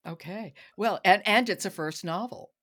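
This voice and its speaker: A woman's voice: medium pitch, moderate pace, a bit bright. A woman hosting a podcast, interviewing her guest in a conversational way. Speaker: podcast host.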